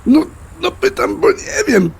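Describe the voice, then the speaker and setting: An actor playing a bewildered, pleading grown son in an audio drama, stammering and whiny with a creaky voice. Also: male voice, very high-pitched, big pitch swings, unhurried, exaggerated voice. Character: bewildered, pleading adult son and boyfriend, easily flustered.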